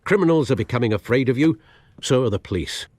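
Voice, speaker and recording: An actor playing a concerned older male confidant in a video game, giving measured, articulate, admonishing warnings. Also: medium pitch, lively intonation, darkish tone, dry recording, lightly acted. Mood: cautioning, concerned.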